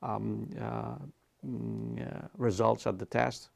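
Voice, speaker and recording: A male interviewer with a very gravelly, low voice puts questions to a candidate. He speaks haltingly, pausing with "um" and "uh" as he looks for the right words. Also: level tone.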